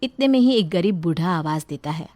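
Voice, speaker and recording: A woman's voice: on the low side, animated, brisk, darkish tone, no room sound. A woman narrating a scripted story.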